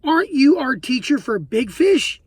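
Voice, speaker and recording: A man's voice: very high voice, sing-song, normal speed, breathy edge, dry recording, studio quality, voice pushed up, heavily acted. Man doing an indignant little girl. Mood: worried. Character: indignant, outspoken little girl in swim class.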